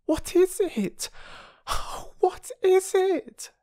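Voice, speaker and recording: A man reading a storybook aloud and voicing Phyllis, a timid young girl, in a breathy, whispery voice. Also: very high voice, steady tone, extremely slow, a bit bright, no room sound, studio quality, pitched above the performer's own voice, full character voice. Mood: frightened. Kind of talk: audiobook character voice.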